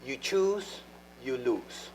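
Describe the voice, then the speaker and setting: A man moderates a university panel, speaking slowly in a reflective, academic way. His pitch rises and falls in a lively way, and a faint mains hum sits under his voice. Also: poor audio. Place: lecture hall panel.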